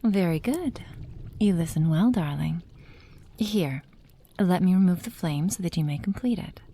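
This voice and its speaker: Woman acting a low, creaky, doting witch. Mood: attentive, affectionate. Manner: soft, unhurried, affectionate, often dropping to a whisper. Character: possessive, doting witch, seductive and softly menacing. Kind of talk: intimate ASMR roleplay.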